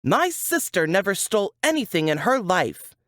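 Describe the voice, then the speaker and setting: A fierce young woman from an audiobook, played by a woman narrator, defensive and clipped as she holds back anger. The pitch moves widely, and the sound is close and dry. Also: very animated, moderate pace, a bit bright, dry recording. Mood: angry, defensive. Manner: tense, clipped, through gritted teeth. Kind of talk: audiobook character acting.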